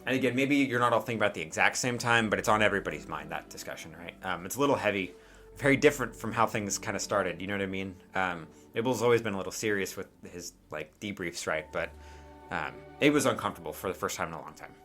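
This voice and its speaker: A man's voice: sits low, fast talker, a bit bright, faint music behind. A man narrating an improvised tabletop game as game master, conversationally, often checking in with his players.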